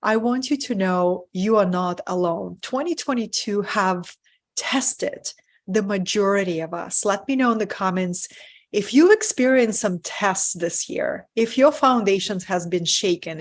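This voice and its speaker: A middle-aged woman from Russia with a Russian accent, presenting a live webinar as a spiritual business mentor in an earnest way and checking in with listeners with "right?". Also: medium pitch. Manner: warm, earnest, often asks "right?" to the audience.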